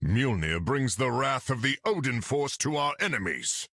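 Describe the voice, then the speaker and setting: A man's voice: medium pitch, sing-song, moderate pace, sharp tone, dry recording, clean studio sound, exaggerated voice. A man voicing a proud thunder god warrior in a video game, speaking in a grand, formal, theatrical way. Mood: proud, gruff. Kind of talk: video game character acting.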